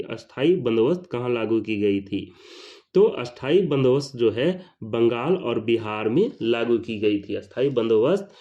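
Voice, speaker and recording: A man running through exam questions. He says every question and answer twice and lifts his voice for each new number. His tone is mellow and dark, and the recording sounds dull and lo-fi. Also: medium pitch. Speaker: teacher.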